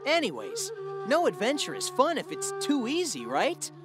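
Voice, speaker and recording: A man's voice: very high voice, huge pitch swings, moderate pace, music in the background, exaggerated voice. A man voicing a cocky, upbeat young hedgehog hero in a video game cutscene, breezy and confident.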